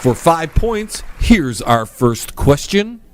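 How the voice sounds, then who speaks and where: A man's voice: a little high, very animated, takes it slowly, background noise. A man playing a slick, teasing host of a comedy radio game show. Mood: breezy.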